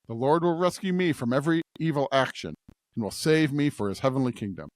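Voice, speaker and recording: A man's voice: medium pitch, lively intonation, a little fast, no room sound, clean studio sound. A man co-hosting a podcast, reading and discussing scripture. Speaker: podcast co-host.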